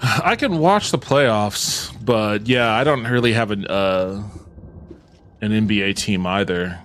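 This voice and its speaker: A man's voice: lowish voice, slow pace, fairly bright tone, a little hiss or hum. A man streaming a game, talking casually and swearing freely as he plays, like a livestream host. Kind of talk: casual livestream chat while gaming.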